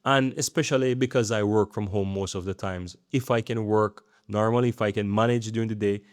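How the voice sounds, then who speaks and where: A man's voice: fairly low pitch, fairly even intonation, fairly quick, clear, resonant tone, no room sound, studio quality. A man in his thirties talking earnestly in a rambling vlog, often checking in with "right?". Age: 30s. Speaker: YouTube creator. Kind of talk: casual talking-head vlog.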